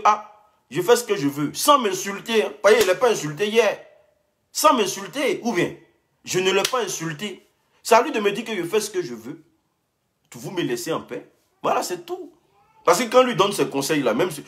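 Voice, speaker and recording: A man's voice: fairly high pitch, a bit bright. A young man, ranting heatedly on a live stream and repeating himself as he answers a rival. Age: young adult. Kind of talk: casual live-stream rant.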